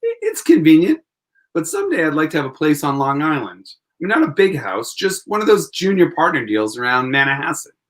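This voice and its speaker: A man plays a young lawyer in an old-time radio drama. He is wry and a bit lovelorn, with an animated delivery that moves up and down a lot. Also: on the high side, a little fast. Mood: casual, wistful. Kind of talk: 1940s radio acting.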